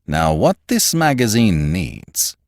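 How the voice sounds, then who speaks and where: A man's voice: mid-range voice, wildly swooping pitch, normal speed, no room sound, pitched above the performer's own voice. A man voicing a genial, fussing older magazine publisher in a storybook, with a hearty, cajoling, emphatic manner. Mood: persuasive.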